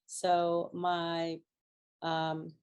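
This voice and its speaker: A middle-aged woman from Hawaii giving an architecture lecture over a video call, pausing often with ums and restarts. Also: lowish voice, completely flat delivery, darkish tone, clean tone. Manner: halting, many ums and restarts.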